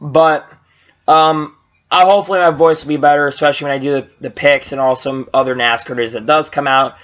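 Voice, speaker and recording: A young man talks into a webcam in a small room and rambles through a race recap, with plenty of fillers and restarts. His voice sits high and sounds a bit strained. The audio is dull and lo-fi. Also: a little fast. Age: young adult. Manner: rambling, lots of fillers and restarts, gets excited. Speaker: vlogger reviewing races. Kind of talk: casual race recap vlog. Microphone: webcam at low angle.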